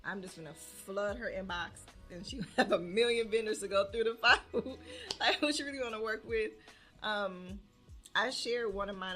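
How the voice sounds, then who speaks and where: Woman near forty, low voice, casual podcast chat, faint music underneath. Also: bright, forceful tone. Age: 30s. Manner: chatty, laughs often, drawn-out fillers. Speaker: podcast co-host and small business owner.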